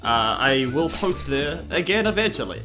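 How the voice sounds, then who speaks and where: A woman's voice: deep voice, big pitch swings, normal speed. A woman comedian bantering casually on a podcast, with a dry, self-deprecating manner. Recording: music behind the voice.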